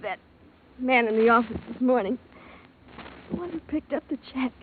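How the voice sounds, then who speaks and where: An old, tinny radio recording with faint noise holds a woman acting a jittery secretary. Her voice sits fairly high, evasive and defensive, in the melodramatic manner of 1940s radio. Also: normal speed, close to an everyday voice. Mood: weak, frightened. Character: jittery, evasive young female secretary under questioning.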